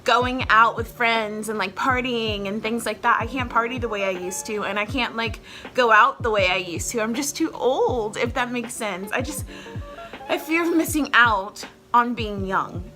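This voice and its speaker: A woman's voice: on the high side, normal speed, pushed and bright, faint music behind. A woman in her twenties chatting casually to camera at home as a vlogger host, rambling and filling her talk with "like". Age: 20s. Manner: chatty, rambling, heavy use of "like" fillers.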